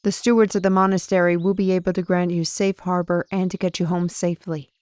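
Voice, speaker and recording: A woman playing a spirited, caring adventurer in an audio drama, brisk, with salty folksy oaths. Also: on the low side, level tone, darkish tone, no room sound, studio quality, lightly acted. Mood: resolute. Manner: colorful folksy oaths, addresses others as lad and lass. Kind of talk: audio roleplay drama acting.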